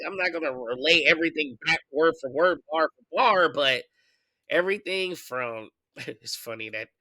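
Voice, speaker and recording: A man hosting a podcast, congested from a recent illness, venting his opinions in a loose, profane, animated way. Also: fairly high pitch, dry recording, poor audio. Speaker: podcast host. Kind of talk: casual opinion commentary.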